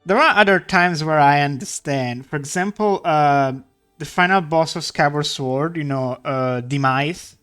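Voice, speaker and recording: Slow, bright-voiced man chatting. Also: on the high side, clear, resonant tone, soft background music. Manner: chatty, rambling, swears. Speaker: streamer. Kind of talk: casual chat while drawing.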